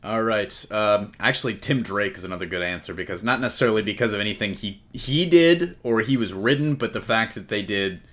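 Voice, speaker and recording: A man with a fairly low voice, talking as the host of a casual podcast panel. He has a wry, joking manner and chats informally. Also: lively intonation, fairly quick, few pauses, fairly bright tone. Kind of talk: casual panel chat about comics.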